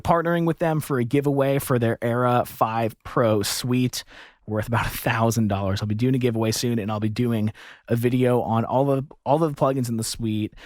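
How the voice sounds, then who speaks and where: Guy in his 30s doing an easygoing demo video, medium pitch, super dry close mic sound. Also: dry recording. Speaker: video host reviewing audio plugins. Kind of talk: casual product demo chat. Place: small home studio, indoors. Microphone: close studio mic on boom arm.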